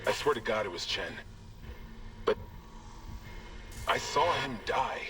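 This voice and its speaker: An actor playing a commanding officer who stays focused under strain, in a dramatic game performance. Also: male voice, medium pitch, animated, slow, recorded from a distance. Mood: uneasy. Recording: noisy comms, off the mic.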